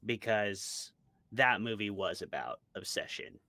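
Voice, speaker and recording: A man with a low voice and a bright, forceful edge talks in a casual interview. He offers opinions and works through examples in a conversational way. The sound is dry and close. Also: animated, unhurried, dry recording. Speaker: interview guest, author and podcast co-host.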